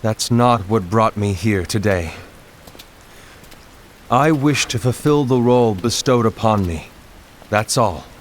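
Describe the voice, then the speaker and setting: A man playing a calm, dutiful leader in a video game cutscene, speaking in a measured, formal way. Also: lowish voice, slight background noise. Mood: solemn, resolute.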